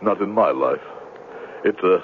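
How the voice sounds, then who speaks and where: An actor playing a smooth-talking swindler who poses as a solemn, pious missionary in a 1970s radio drama, with theatrical hesitations. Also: male voice, low voice, animated, measured pace. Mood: solemn, sorrowful. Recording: muffled, noisy old radio broadcast.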